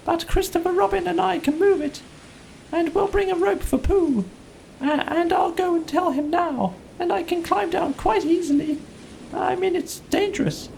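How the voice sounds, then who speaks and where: A man voicing a small, timid but brave young piglet in a squeaky, nervous storybook voice that stammers. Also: gravelly, darkish tone, a little hiss or hum, exaggerated voice, rough recording. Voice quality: high, squeaky.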